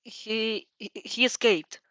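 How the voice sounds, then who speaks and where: A woman's voice: fairly high pitch, fairly even intonation, slow pace, a bit bright, dry recording, dull audio. Woman voicing an anxious, faltering teenage girl student. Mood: shaken, hesitant. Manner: hesitant, faltering account.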